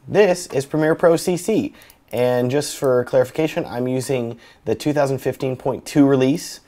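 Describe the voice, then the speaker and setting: A young man with a slightly raspy, cold-roughened voice, teaching color grading in a chatty, joking tutorial. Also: medium pitch, moderate pace, dark tone. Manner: joking, chatty, self-deprecating. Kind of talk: casual tutorial talk.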